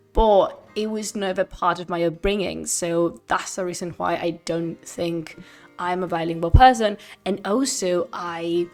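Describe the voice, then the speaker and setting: Host, young woman, Spanish native speaking English, chatty and expressive, faint music under her. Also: fairly low pitch. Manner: lively, laughing, drops to whispers. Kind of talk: casual livestream chat before a reading.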